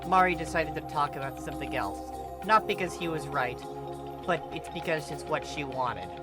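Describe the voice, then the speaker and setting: A man reading fan fiction aloud as narrator, in an expressive scripted storytelling style. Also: a little high, normal speed, loud music behind the voice.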